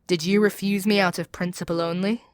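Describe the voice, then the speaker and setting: A woman plays a demon queen with a somewhat low voice, very dry and free of room sound. She is proud and seductive, addressing her captive with theatrical command and persuasion. The delivery suits an intimate ASMR audio drama. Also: fairly even intonation, a little fast, dry recording, lightly acted. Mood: wistful, curious. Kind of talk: ASMR audio roleplay acting.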